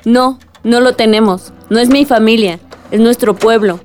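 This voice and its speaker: A woman's voice: on the high side, moderate pace, a little mellow, soft background music, close to an everyday voice. An actress with a Mexican accent playing a grieving, defiant young village woman in a radio drama. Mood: firm, indignant.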